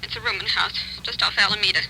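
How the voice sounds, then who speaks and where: An urgent wife on the phone. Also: female voice, mid-range voice, fairly even intonation, quick pace, pushed and bright, slight background noise. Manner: urgent, breathless insistence. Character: anxious young wife calling the police. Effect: telephone line.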